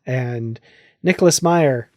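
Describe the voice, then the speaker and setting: A man hosting a podcast, speaking in an effusive, excitable and enthusiastic way. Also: medium pitch, takes it slowly, no room sound, clean studio sound. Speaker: podcast host. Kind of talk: enthusiastic casual podcast discussion.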